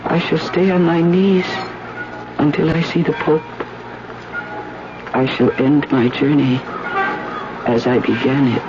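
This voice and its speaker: An actress playing an anguished, worn French woman doing penance, solemn and halting, in a 1970s radio drama. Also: sits low, fairly even intonation, background noise. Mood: weary, resolute. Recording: dull 1970s radio recording with music.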